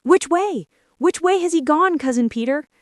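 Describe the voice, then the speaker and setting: A woman's voice: high voice, lively intonation, moderate pace, no echo, studio quality, pitched above the performer's own voice. A woman voicing a frantic young father rabbit from a storybook, urgent and pleading, in exclamations. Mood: anxious. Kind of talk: storybook character voice.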